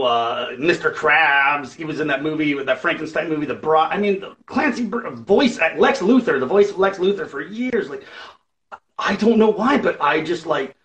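A raspy-voiced man talking as a guest, telling stories animatedly with lots of fillers. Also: a little high, poor audio. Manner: animated, filler-heavy storytelling. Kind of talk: casual chat.

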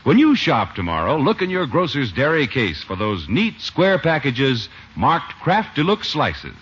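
Vintage male announcer for radio sponsor spots, lively forceful delivery, muffled old broadcast sound. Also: medium pitch, measured pace, husky. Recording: dull, noisy old radio recording.

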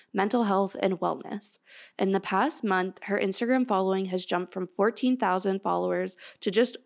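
Woman host reading a guest intro. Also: mid-range voice. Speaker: podcast co-host. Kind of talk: scripted intro, then casual chat.

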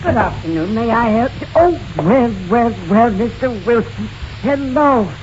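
An effusive male shop clerk with a very high voice. He gushes and fusses, doubling up his words, over a muffled, faintly hissy old radio drama recording. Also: expressive, unhurried. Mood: effusive, chirpy. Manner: gushing, repeats words. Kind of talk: 1940s radio drama acting.

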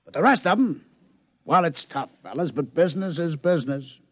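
An actor playing a brash, streetwise American casino owner who talks in slangy wisecracks, in old-time radio drama style. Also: male voice, on the high side, lively intonation, moderate pace, a bit bright, no echo. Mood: matter-of-fact, friendly.

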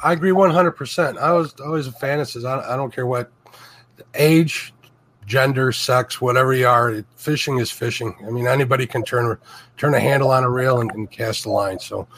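A man hosting a casual panel chat, easygoing and quick to laugh. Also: mid-range voice, fairly bright tone. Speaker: host.

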